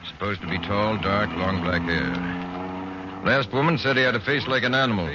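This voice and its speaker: An actor playing a police chief of detectives in a 1940s radio drama, brisk and commanding. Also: male voice, fairly low pitch, lightly acted. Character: brisk middle-aged male police chief. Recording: lo-fi 1940s radio broadcast with heavy noise.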